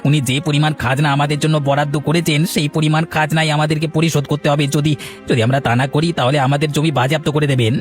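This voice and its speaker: A man's voice: fairly high pitch, level tone, quick pace, faint music behind, natural voice. A man playing a humble, aggrieved young peasant farmer in a cartoon, earnest and pleading. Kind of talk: Bengali cartoon dialogue acting.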